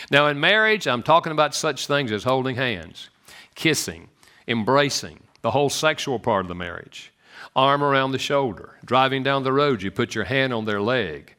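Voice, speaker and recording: A man with a Southern US accent giving an animated, joking church talk on marriage to an audience. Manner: animated and joking, swoops in pitch. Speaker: speaker on marriage.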